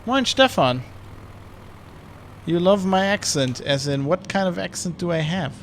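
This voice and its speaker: A man's voice: sits high, lively intonation, measured pace, slight background noise. A man giving rambling, casual commentary while streaming a flight sim. He restarts and corrects himself often. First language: German.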